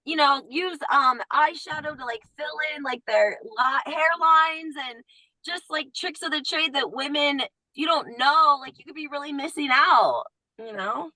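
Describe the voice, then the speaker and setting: A woman's voice: high voice, measured pace, bright, forceful tone, no echo, poor audio. A woman chatting casually, giving blunt opinions and saying "like" a lot, with a Southern accent that comes out at times. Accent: Southern US, surfaces at times. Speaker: guest commentator. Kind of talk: casual chat.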